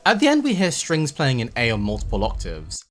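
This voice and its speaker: A man narrating a video essay in an explanatory, measured way with emphatic phrasing and casual asides. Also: mid-range voice, wildly swooping pitch. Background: background music.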